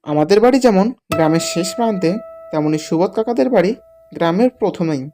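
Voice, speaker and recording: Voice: medium pitch, lively intonation, a little fast, dark tone. Horror cartoon storyteller over music. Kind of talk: scripted first-person horror story narration for a cartoon. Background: music with guitar.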